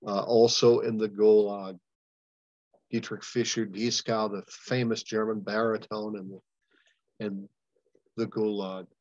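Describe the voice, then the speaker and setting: An older man, a pastor leading a Bible study over a video call, preaching with emphatic, impassioned delivery and long pauses. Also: fairly low pitch, little pitch movement, darkish tone, no echo. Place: home room, indoors. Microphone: webcam on a video call. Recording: dull lo-fi webcam audio, dry.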